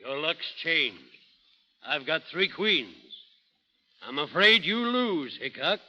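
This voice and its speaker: A sly frontier outlaw in a vintage radio drama. The voice is very sharp and forceful, with clipped, taunting delivery, on a dull old recording. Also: male voice, fairly high pitch, very animated, slow pace, heavily acted. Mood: smug. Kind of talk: 1930s radio acting.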